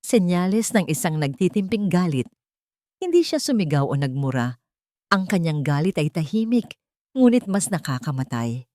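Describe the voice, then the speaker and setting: Low-voiced woman narrating a dramatic story. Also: sing-song, moderate pace, warm tone, no echo, clean studio sound. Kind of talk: dramatic scripted first-person story narration.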